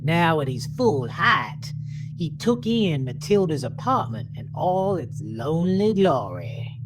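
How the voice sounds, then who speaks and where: Slow, dramatic male horror narrator. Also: a little high, very animated, over music, poor audio. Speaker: narrator of an audio horror story.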